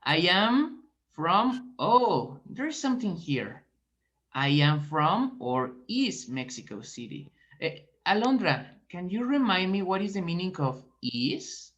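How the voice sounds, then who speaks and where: Slow, patient woman teacher on a call. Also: lowish voice, big pitch swings. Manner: slow, encouraging, questioning. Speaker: teacher trainee giving feedback. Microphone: video call. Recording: dull video call audio.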